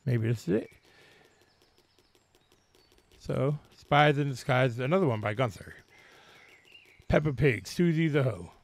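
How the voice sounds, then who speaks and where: A man's voice: mid-range voice, lively intonation, measured pace, pauses often. A man hosting casually, reading comic fan fiction and commenting over a children's video game, in informal language. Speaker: host of a gaming channel. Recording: clearly noisy, game audio underneath. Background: children's video game sounds.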